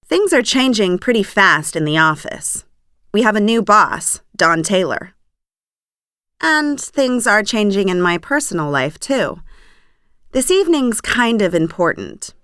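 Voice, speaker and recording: A woman, playing a young magazine employee in a scripted language-course dialogue, chatty and lively. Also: medium pitch, a little slow, clean tone, dry recording, clean studio sound. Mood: cheerful.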